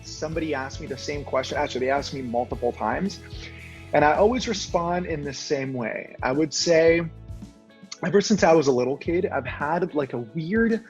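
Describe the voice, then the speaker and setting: A young man from Honduras tells stories in a chatty, easygoing way, full of "like" fillers. His voice sits a bit high for a man. The audio sounds dull and lo-fi, with faint music underneath. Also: moderate pace, darkish tone. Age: young adult. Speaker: guest. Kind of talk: casual storytelling. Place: Toronto.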